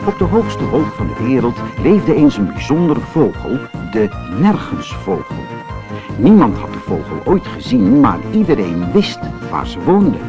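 A man's voice: mid-range voice, vocal fry, over music. A man reading a children's fairy tale expressively and without hurry, as a storyteller. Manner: expressive, unhurried read-aloud.

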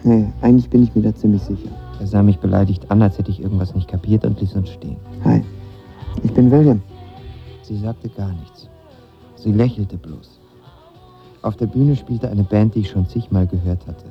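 A man's voice: on the low side, steady tone, normal speed, natural voice. An actor playing a lovestruck, nervous young man who tells his story in casual, slangy radio drama narration. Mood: wry, reflective, then eager, self-deprecating. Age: young adult. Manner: casual, slangy, self-deprecating. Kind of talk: first-person radio drama narration with dialogue. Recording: slightly dull audio with music behind.

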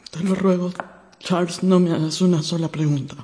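A woman's voice: lowish voice, fairly even intonation, moderate pace, warm tone, husky. An actress playing a proud, desperate married woman in a radio drama, with a theatrical, impassioned, pleading delivery. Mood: drained pleading. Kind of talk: Spanish-language radio drama acting.

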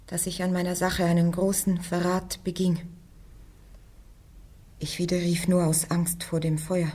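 A woman playing a young peasant girl on trial, answering in quiet, steady, firm words in a classic radio play. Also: fairly low pitch, a little slow. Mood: defiant.

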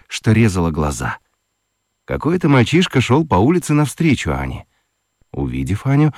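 A man reading a children's story aloud in a studio, telling it in an animated, playful way. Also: dry recording. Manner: animated, playful storytelling with wide pitch swings. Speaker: narrator. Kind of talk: scripted audiobook narration for children. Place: radio studio.

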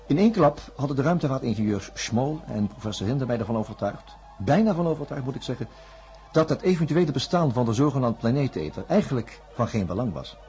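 A conscientious, worried doctor in a science fiction radio drama. His voice sits at a medium pitch for a man, grave and apt to falter, with faint music in the recording. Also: sing-song, a little mellow. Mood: grave, reflective. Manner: grave, halting when agitated. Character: anxious, conscientious male doctor narrating a disaster.

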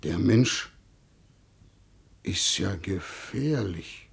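An actor playing a rigid, dutiful Prussian official who admonishes emphatically in a 1950s German radio play. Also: male voice, animated, extremely slow, exaggerated voice. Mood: worried. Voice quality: gravelly, husky. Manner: emphatic, admonishing, swinging in pitch. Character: rigid, dutiful male Prussian official, exasperated believer in order.

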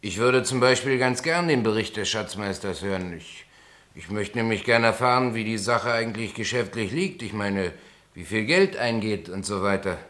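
An actor playing a naive but sensible, unassuming newcomer president in a German radio play, questioning and matter-of-fact. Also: male voice, fairly low pitch, animated, normal speed, a bit bright. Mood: puzzled, skeptical. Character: plain-spoken, naive, sensible new opera board president.

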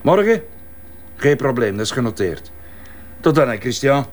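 Actor as a curt Flemish husband, mid-pitched. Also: male voice, big pitch swings, moderate pace, natural voice. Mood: businesslike, courteous. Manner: gruff, curt, snappy. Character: irritable, curt husband and office man. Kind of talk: naturalistic Flemish radio drama acting.

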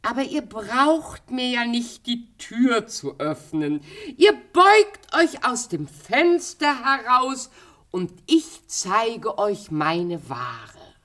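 Vain, scheming queen for radio drama, hugely animated intonation, unhurried, wheedling then imperious. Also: a little high, heavily acted. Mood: coaxing, sly. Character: vain, cruel queen, at times posing as a coaxing pedlar woman. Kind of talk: radio play acting.

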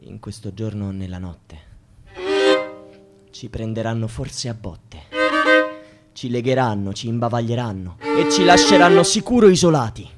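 An actor playing an impassioned protester, declaiming rhymed verse in a rhetorical way in a radio drama, calling on the people. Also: male voice, medium pitch, close to an everyday voice. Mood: solemn appeal. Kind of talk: theatrical radio drama monologue in rhythmic verse. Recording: music behind the voice.

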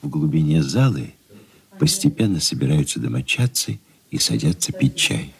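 A man's voice: extremely low pitch, very animated, moderate pace, gravelly, a little hiss or hum, full character voice. A man narrating stage directions for a radio drama in a scripted reading style.

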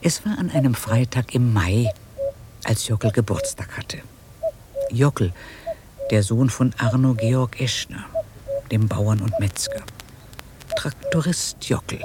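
A man narrating a radio drama in a measured, literary storytelling manner. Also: mid-range voice, background noise.

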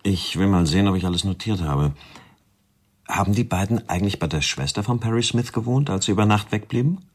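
An actor playing a businesslike investigator in a radio play who asks questions while taking notes. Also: male voice, on the low side, lively intonation, fairly quick.

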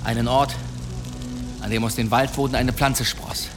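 A man's voice: mid-range voice, steady tone, normal speed, over music, lightly acted. An actor playing a boastful medieval demon hunter, telling his tales in a courtly, suspenseful manner in a German radio drama. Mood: dramatic, gripping. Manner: theatrical, suspenseful, courtly delivery.

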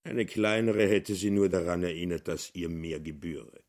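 A man's voice: low voice, moderate pace, a little mellow, lightly acted. A man narrating a satirical literary radio drama. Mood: dry.

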